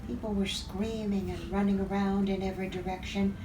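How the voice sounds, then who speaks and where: A woman playing a wealthy, haughty widow in a noir radio play, deliberate and melodramatic in manner. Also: mid-range voice, little pitch movement, natural voice. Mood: dramatic recounting. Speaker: actor. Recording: echoey, noisy, distant rehearsal recording.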